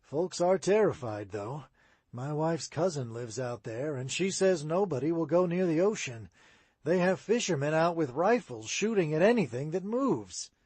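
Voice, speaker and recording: A man reading an audiobook aloud and voicing a talkative grown townsman who tells an old local legend in a low, confiding tone. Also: expressive, normal speed, darkish tone, husky, dry recording.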